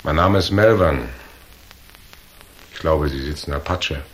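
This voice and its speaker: A man's voice: extremely low pitch, measured pace. A male actor playing a cool, tough, confident hero in a radio crime drama, speaking dryly and unflustered. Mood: cool, probing. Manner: dry, unflustered, hard-boiled.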